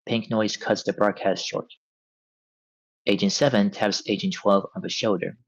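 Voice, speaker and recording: A man reading stage directions as narrator in a live table read, measured and descriptive. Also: lowish voice, fairly even intonation, gravelly, breathy edge, dry recording, muffled recording.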